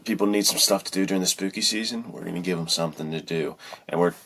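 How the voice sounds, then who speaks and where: A man's voice: low voice, fast talker, noisy background. A man co-hosting a podcast in casual, enthusiastic chat, using slang and an encouraging manner. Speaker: podcast host.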